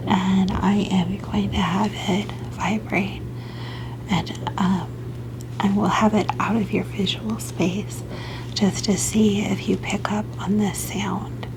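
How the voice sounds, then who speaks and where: A woman in a whispered ASMR roleplay as a gentle, reassuring doctor, with a soft, breathy delivery. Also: medium pitch, noisy background. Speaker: ASMR performer playing a doctor.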